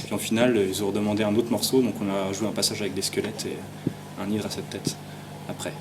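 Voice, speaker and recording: A man's voice: fairly low pitch, flat delivery, fast talker. A man talking casually in an interview as a musician, informal and a bit hesitant. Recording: clearly noisy ambient background.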